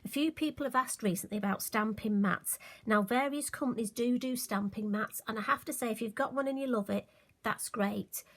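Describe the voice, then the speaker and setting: A woman, slightly hoarse and occasionally croaky, chatting through a crafting tutorial with friendly, self-deprecating asides. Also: a little high, moderate pace, fairly bright tone. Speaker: card-making demonstrator.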